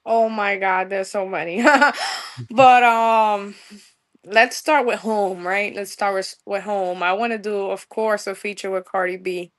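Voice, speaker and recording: A woman's voice: mid-range voice, steady tone, measured pace. A bubbly young woman with a New York accent, chatting in a podcast interview. She gushes freely and drops plenty of street slang. Her voice sits in an ordinary middle register for a woman. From New York, USA. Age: young adult. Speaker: guest musician.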